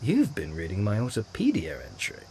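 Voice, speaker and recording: A man playing a smug, eccentric robot weapons inventor who talks in a glib, excitable way. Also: on the low side, moderate pace, creaky, a little hiss or hum, exaggerated voice.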